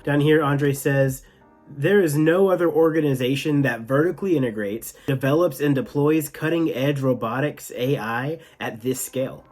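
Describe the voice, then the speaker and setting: A man hosting a tech news show, giving enthusiastic, partly scripted commentary with some casual asides. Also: medium pitch.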